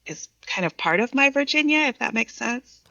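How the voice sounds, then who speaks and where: A woman's voice: a little high, animated, normal speed, vocal fry, bright, forceful tone, dry recording, lo-fi sound. A Vietnamese American woman poet speaking thoughtfully and reflectively as a guest. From Vietnamese American, from the United States. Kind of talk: reflective conversation and poetry reading.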